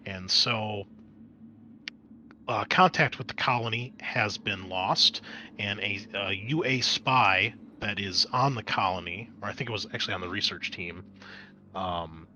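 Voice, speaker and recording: A man's voice: fairly low pitch, bright, forceful tone, slight background noise. A man running a tabletop game as game master, narrating in a casual way with some hesitant phrasing.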